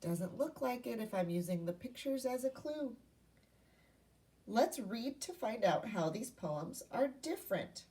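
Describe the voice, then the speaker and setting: A woman's voice: a little high, expressive, unhurried, dark tone, far from the mic. A woman teacher giving a read-aloud lesson to young children, speaking in simple instructional phrases and asking questions. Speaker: primary school teacher.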